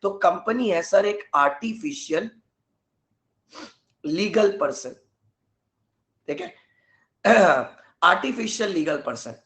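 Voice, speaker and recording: Hoarse male teacher, echoey room. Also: sits high, expressive, takes it slowly, pauses often, fairly bright tone. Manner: clears throat often, mixes Hindi with English terms. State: hoarse, sore throat. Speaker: law teacher. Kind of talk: live online lecture.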